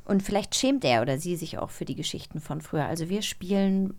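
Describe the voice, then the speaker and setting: A woman's voice: lowish voice, animated, fairly quick, darkish tone. A woman co-hosting a podcast in a casual, conversational style. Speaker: podcast co-host. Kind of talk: casual chat.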